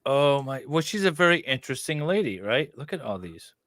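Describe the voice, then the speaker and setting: A man's voice: medium pitch, very animated, brisk, fairly bright tone, no room sound, studio quality. A man in casual chat as host, reading a web page aloud and adding sarcastic, mocking commentary.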